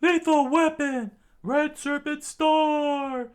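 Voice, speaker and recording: An excitable young slingshot fighter, pitched very high and loud. He yelps and exclaims in panic, a storybook adventure hero. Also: slow. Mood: excited. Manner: yelping, exclamatory.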